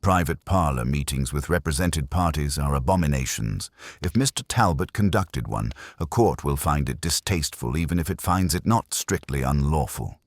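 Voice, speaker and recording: A man's voice: extremely low pitch, animated, moderate pace, no echo, full character voice. A man playing a stern, disapproving old judge in an audiobook mystery, formal and authoritative.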